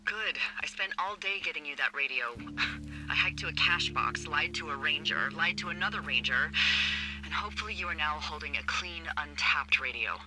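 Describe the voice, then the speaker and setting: An actress playing a wry, breezy American fire lookout speaking over a two-way radio in a video game. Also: female voice, low voice, expressive, moderate pace, music in the background, low-quality recording. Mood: brisk. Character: wry, chipper, foul-mouthed adult woman fire lookout. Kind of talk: naturalistic video game dialogue.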